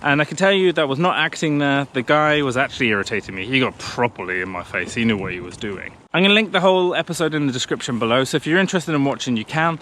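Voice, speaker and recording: A man's voice: mid-range voice, expressive, fast talker, hardly any pauses, a bit bright, slight background noise. A man in his thirties talking casually to a camera clipped on a pushchair as he walks along a country path, chatty and self-deprecating. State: out of breath pushing uphill. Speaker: vlogger. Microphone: camera on a pushchair, walking.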